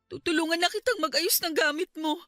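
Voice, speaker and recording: A woman's voice: extremely high pitch, brisk, bright, forceful tone, no echo, faint music behind. A woman playing a long-suffering young mother in an emotional radio drama, earnest and pleading with her partner. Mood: anxious pleading.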